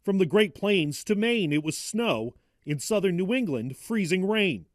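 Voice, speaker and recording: An American male TV reporter narrates a scripted field report. His voice is on the high side for a man, with faint background noise. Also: lively intonation, measured pace. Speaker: television news reporter.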